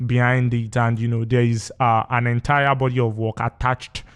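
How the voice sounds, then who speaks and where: A Nigerian man who grew up speaking Yoruba explains his background in a studio interview. His voice is smooth and resonant, pitched in the middle range. He talks in a measured, reflective way, pausing and reaching for words as he goes. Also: flat delivery. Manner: measured, thoughtful, with frequent pauses and fillers. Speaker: poet guest. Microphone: studio microphone.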